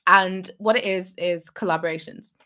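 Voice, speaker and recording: A young adult woman with a slightly low voice, coaching her live viewers in an upbeat, encouraging way. She talks straight to the chat and repeats her key points for emphasis, like a business coach on a casual stream. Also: steady tone, normal speed, sharp tone. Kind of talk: live-streamed advice vlog.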